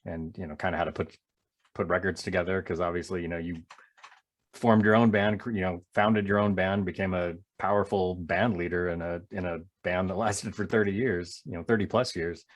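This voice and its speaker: A man's voice: low-pitched, steady tone, a little fast. A man hosting an interview, chatting casually in an agreeable, conversational way. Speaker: interview host. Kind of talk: casual chat.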